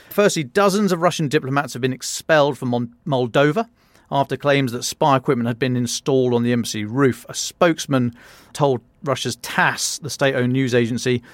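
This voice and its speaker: A man's voice: medium pitch, no room sound. British guy doing a news podcast briefing, dry close sound, informal and a bit wry. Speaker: podcast host and defence journalist. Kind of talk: news briefing, semi-scripted with conversational asides.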